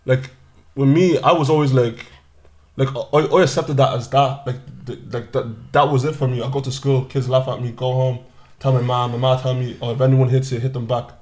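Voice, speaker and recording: Quick-talking guy on a podcast, nigerian-born raised in ireland, loose and rambly, lots of bro, desk mic. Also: medium pitch, fairly even intonation, a little mellow. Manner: fast, loose storytelling full of "bro" and restarts. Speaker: podcast guest. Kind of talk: casual chat. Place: small podcast studio. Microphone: desk mic on boom arm.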